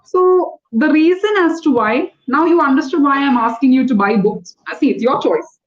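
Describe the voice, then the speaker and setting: Woman, trainer, high voice, patient corrective teacher, muffled lo-fi sound. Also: normal speed. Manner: patient, corrective, spells words out letter by letter. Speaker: English trainer. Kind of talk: one-on-one English lesson.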